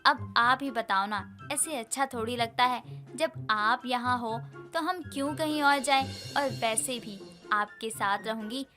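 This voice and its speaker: A woman's voice: a little high, a little slow, pushed and bright, faint music behind. A woman playing an affectionate young daughter in a Hindi cartoon story, persuasive and pleading. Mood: coaxing. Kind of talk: animated moral story acting.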